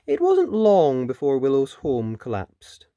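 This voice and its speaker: A children's story reader and host giving animated storybook narration, with dramatic emphasis and pauses. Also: mid-range voice, dry recording, lo-fi sound.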